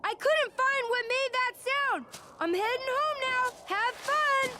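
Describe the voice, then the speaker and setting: Very high-pitched chirpy boy. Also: slow pace, very noisy. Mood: friendly, upbeat. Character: friendly, outdoorsy young boy neighbor.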